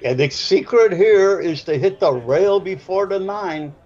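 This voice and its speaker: A man's voice: high-pitched, animated, measured pace, darkish tone, dull audio. A man in his seventies with a South Side Chicago accent, giving rambling, folksy color commentary on a pool match. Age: 70s.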